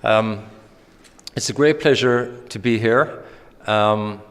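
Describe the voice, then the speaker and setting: A man from Ireland with an Irish accent, giving a conference presentation with many pauses and restarts. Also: on the low side, smooth. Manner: hesitant, many ums and restarts. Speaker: conference speaker. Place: conference hall. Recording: hall reverb.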